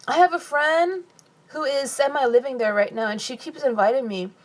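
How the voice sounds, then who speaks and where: A young woman from California, casually answering viewer questions on a phone live stream while eating. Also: sits high. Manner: chatty, reads comments aloud and answers with quick laughs.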